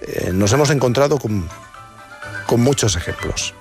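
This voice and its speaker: A man's voice: medium pitch, expressive, moderate pace, over music. A man hosting a talk radio show, explaining a study in a conversational way with small restarts. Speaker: radio host.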